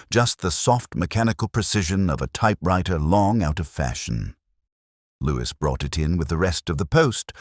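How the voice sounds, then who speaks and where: A man acts out a brooding detective telling his own mystery story in an extremely deep voice. The delivery is solemn and literary, with introspective weight and expressive swings in pitch. The sound is dry and intimate, without room echo. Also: exaggerated voice. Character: brooding, reflective British male police inspector narrating his case. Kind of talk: scripted literary mystery narration.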